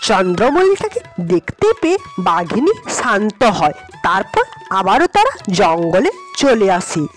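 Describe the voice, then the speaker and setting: A woman's voice: mid-range voice, big pitch swings, unhurried, soft background music. A woman narrating a scripted cartoon fairy tale as a storyteller.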